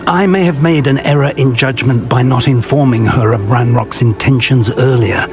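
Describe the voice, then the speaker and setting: A man's voice: medium pitch, normal speed, over music, close to an everyday voice. Formal, concerned male wizard professor, acted by a man. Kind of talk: video game dialogue acting.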